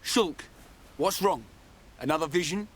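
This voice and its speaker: A man's voice: fairly high pitch, measured pace, a little hiss or hum, natural voice. A man playing a blunt, loyal, slightly dim young sidekick in a video game cutscene, speaking colloquially. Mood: concerned.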